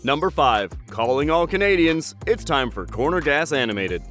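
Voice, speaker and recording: A man's voice: a little high, big pitch swings, moderate pace, fairly bright tone, soft background music. A man reading a scripted pop-culture countdown as a video narrator.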